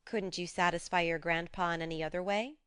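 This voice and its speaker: A woman voicing a spirited, frank young woman who talks briskly and coaxingly. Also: lowish voice, fairly even intonation, fairly bright tone, smooth, dry recording, clean studio sound, natural voice.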